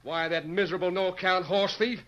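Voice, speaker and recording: Cocky scornful cowboy, high sharp voice, frontier bluster, muffled old radio show. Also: male voice, steady tone, moderate pace, a little hiss or hum. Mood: scornful, boastful. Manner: theatrical frontier name-calling bluster. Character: boastful, scornful cowboy promising to shoot his rival. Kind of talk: old-time radio western acting. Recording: dull, band-limited old radio recording.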